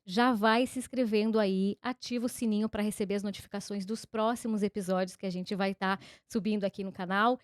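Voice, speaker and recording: Brazilian woman co-host, higher voice, dry close sound. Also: no echo. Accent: Brazilian Portuguese. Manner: lively, presenter-like. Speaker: podcast co-host. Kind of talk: podcast sign-off.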